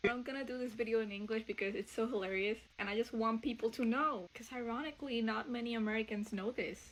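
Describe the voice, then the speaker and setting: Amused Puerto Rican woman, slightly high. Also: quick pace, a little hiss or hum, rough recording. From Puerto Rico. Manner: amused, sardonic. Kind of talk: storytelling vlog.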